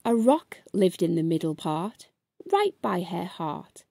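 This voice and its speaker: A woman narrating a rhyming picture book aloud with a measured bedtime-story delivery. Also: on the low side, sing-song, vocal fry, dark tone, dry recording.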